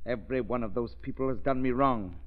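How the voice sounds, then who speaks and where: An actor playing a proud, vengeful millionaire with a grave, brooding manner in a 1930s radio drama. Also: male voice, medium pitch, fast talker, lightly acted. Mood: resentful. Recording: dull vintage radio recording.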